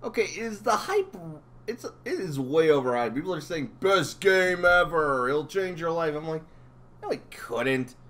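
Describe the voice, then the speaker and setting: A man with a fairly high, very lively voice hosts a casual interview. He rambles along like an enthusiastic fan, his intonation moving up and down a great deal. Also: measured pace. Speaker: interviewer and channel host.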